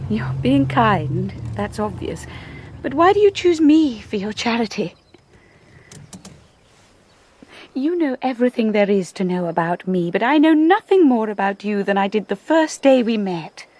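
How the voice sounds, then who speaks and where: An actress playing a shy young lady's companion in a radio drama, gentle, hesitant and well-spoken as she narrates and converses. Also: mid-range voice, very animated, moderate pace. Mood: earnest, hurt. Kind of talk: radio drama acting and first-person narration.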